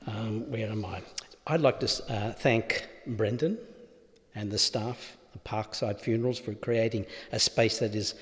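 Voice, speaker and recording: A man giving a halting, informal thank-you speech at a funeral. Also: fairly low pitch, fairly even intonation. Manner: halting, informal, with fillers and asides. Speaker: family member speaking at a funeral. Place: funeral chapel. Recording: long reverb of a large hall.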